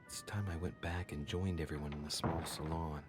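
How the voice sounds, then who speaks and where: An actor playing an earnest young male investigator in a video game, speaking seriously and deliberately. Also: extremely low pitch, fairly even intonation, in a large hall, over loud music. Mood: resolved. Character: earnest young male investigator seeking his missing mother.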